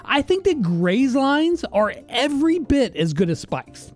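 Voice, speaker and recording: A man's voice: very high voice, measured pace. A middle-aged man giving upbeat, informal countdown commentary on a video game into a close microphone. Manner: expressive, informal, emphatic. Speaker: video host. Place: indoor streaming studio. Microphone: close boom-arm microphone. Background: faint music.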